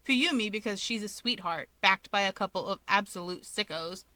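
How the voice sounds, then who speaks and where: Bright-voiced woman reading a story. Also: mid-range voice, normal speed, no room sound. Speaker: story reader. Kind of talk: scripted fiction narration.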